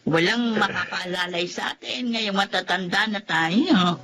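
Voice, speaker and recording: Woman acting halting, fretful old lady. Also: mid-range voice, loud music behind the voice, lightly acted. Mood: worried, resigned. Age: older. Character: fretful, caring old spinster sister, weary. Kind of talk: Filipino radio drama acting.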